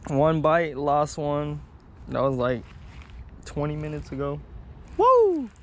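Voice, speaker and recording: A man casually vlogging outdoors as he fishes, talking in an excitable, slangy way. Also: on the high side, slow. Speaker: fishing vlogger. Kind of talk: casual outdoor vlog chat. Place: outdoors beside a pond. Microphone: body-worn action camera. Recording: noisy outdoor action camera audio.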